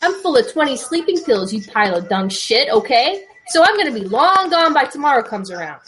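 A woman's voice: on the high side, big pitch swings, fairly quick, fairly bright tone. A woman voicing a desperate, combative man in debt with a New York accent, in a dramatic story reading. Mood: angry, ranting. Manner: combative, agitated, slangy. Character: desperate, defiant New York man, foul-mouthed debtor.

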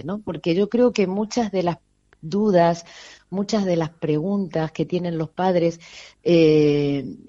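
Woman, pediatrician guest, mixed Argentine and southern Spanish accent, halting conversational delivery, slightly muffled dry recording. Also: on the low side, mellow, no room sound. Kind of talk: casual spoken interview. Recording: slightly dull, lo-fi audio.

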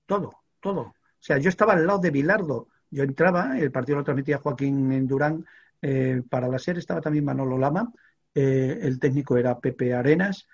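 An older man from Extremadura spins a long reporting anecdote, his voice gravelly and breathy. He keeps restarting and padding his phrases with fillers, and the recording has a slightly muffled, dull tone. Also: medium pitch, a little fast. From Extremadura, Spain. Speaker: guest, veteran sports radio reporter. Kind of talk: storytelling in a studio chat.

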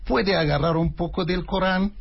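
Husky-voiced man explaining in a radio interview. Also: high voice. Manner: measured, explanatory, with many pauses. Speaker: interview guest. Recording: dull lo-fi radio sound.

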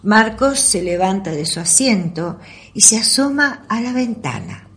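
A woman's voice: medium pitch, sing-song, unhurried, a bit bright. A woman narrating an amateur radio play from a script. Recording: audible background noise.

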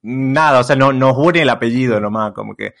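A man's voice: medium pitch, fairly quick, vocal fry, a bit bright. Rambling young Argentine man. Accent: Argentine Spanish. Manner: rambling, many fillers and restarts. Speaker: content creator guest. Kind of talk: casual interview chat.

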